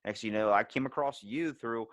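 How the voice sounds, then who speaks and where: A man hosts a podcast with loose, casual banter. He tells stories animatedly, swears freely and acts out quotes, in a medium-pitched voice with a very dry sound free of room echo. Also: brisk, fairly bright tone. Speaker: podcast host.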